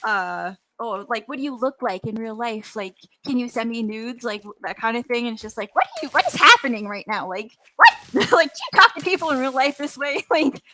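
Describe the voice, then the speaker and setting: Bright-voiced young woman chatting casually. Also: on the high side, sing-song, slight background noise, low-quality recording. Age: millennial, young adult. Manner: informal, halting, peppered with "like". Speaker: interview guest, voice actress and gamer. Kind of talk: casual chat.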